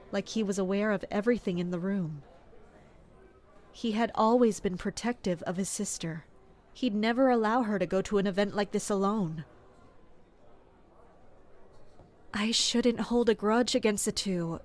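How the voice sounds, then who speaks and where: A woman playing a guarded, watchful palace servant who narrates a fantasy audio drama in a measured, serious way. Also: mid-range voice, a little mellow, close to an everyday voice. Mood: wary, reflective. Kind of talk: scripted first-person audio drama narration.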